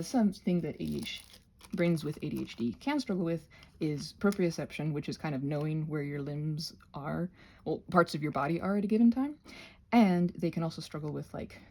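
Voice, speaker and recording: Gravelly, husky woman rambling through crafts. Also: sits low, animated, normal speed, darkish tone. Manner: hesitant, meandering, self-deprecating asides. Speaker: craft channel host. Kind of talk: casual rambling craft demonstration.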